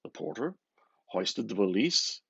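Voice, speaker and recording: A man reading a novel aloud with expressive, dramatic narration, musing and often dropping into a hushed murmur. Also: fairly low pitch, measured pace, creaky, breathy edge, dry recording, dull audio. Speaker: reader. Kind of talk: expressive literary audiobook narration.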